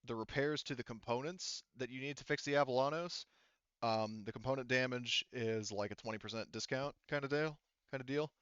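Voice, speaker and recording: Male game master, smooth dry voice, explains things casually and haltingly at length. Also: mid-range voice, a bit bright, no echo, muffled recording. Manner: halting, explains in rambling detail. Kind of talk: casual tabletop game narration.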